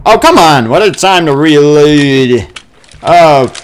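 Excitable male gamer with very animated pitch. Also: slow. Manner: excitable, exclamatory, sweary, silly invented words. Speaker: gamer playing a first-person shooter. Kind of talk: casual let's play commentary. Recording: game gunfire and noise behind voice. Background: video game combat sounds.

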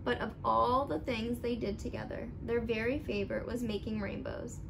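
A young woman reading a picture book aloud expressively, with animated, deliberate emphasis for young listeners. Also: fairly high pitch. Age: young adult. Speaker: storybook reader. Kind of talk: expressive children's read-aloud. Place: living room at home. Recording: clearly noisy home recording.

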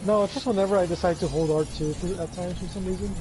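A man's voice: high-pitched, fairly even intonation, fast, over a noisy crowd, rough recording. A man casually talking to teammates while playing a multiplayer video game. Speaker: gamer, teammate. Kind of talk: casual gaming chat. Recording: loud game noise over voice.

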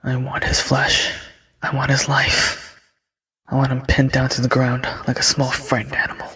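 An actor playing a wounded, feverish young necromancer in an audio drama, speaking in halting, breathy fragments. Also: male voice, mid-range voice, monotone, pushed and bright, no room sound, heavily acted. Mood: vengeful.

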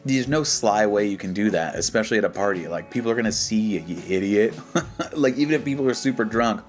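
A man's voice: lowish voice, lively intonation, quick pace. A man giving casual, slangy, skeptical commentary and reading posts aloud in a joking way. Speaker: host of a reaction show. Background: background music.

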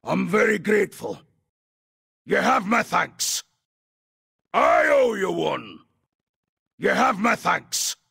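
A fiery, blustering dwarf from a fantasy video game, speaks with a thick Scottish accent. The voice is forceful and full of gravel, pitched high for a man. It is delivered slowly and heartily. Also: expressive, full character voice. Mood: grateful. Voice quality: gravelly, raspy. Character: gruff, fiery, boisterous male Dark Iron dwarf. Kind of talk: video game character voice lines.

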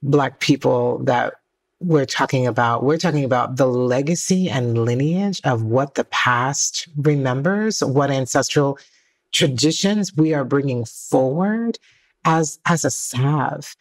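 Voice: medium pitch, slow pace. A panel guest from the United States speaking reflectively, with emphatic, list-like phrasing.

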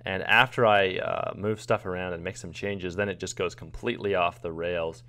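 Casual male screen-recording narrator, a video editor with a lowish voice, explaining things plainly. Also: fairly even intonation, normal speed, bright, forceful tone.

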